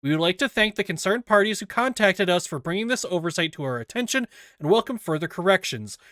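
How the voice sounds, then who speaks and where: A man reading a written document aloud in a deliberate, scripted narration style. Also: sits high, expressive, fairly bright tone, no room sound, clean studio sound. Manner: deliberate, spells out quote marks aloud. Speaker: reader of an investigation document.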